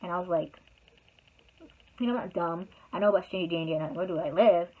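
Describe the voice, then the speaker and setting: A young woman recounts a story in a loose, casual way, circling back and padding her sentences with fillers. Her voice sits fairly low. The sound is thin and tinny, like a phone line. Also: fairly quick. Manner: rambling, chatty, full of "like" fillers. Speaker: vlogger.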